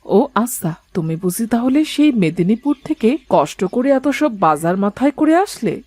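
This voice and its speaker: A woman voicing a hospitable, gently bossy older mother-in-law in a cartoon family drama. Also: on the high side, very animated, normal speed, no echo. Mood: curious, welcoming. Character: hospitable, kindly older Bengali mother-in-law, head of household.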